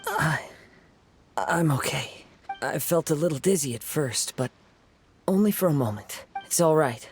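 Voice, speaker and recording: An actor playing a calm, measured young male wandering samurai in a game. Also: on the high side, slightly rough, full character voice. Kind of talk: video game voice acting.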